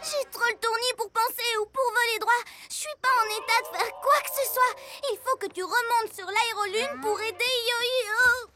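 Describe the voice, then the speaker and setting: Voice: extremely high pitch, moderate pace, hardly any pauses. An actor playing a bossy, confident six-year-old girl superhero in a French children's cartoon, speaking assertively, with music behind. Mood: firm, urging. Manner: assertive, emphatic. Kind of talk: French animated children's cartoon dub acting.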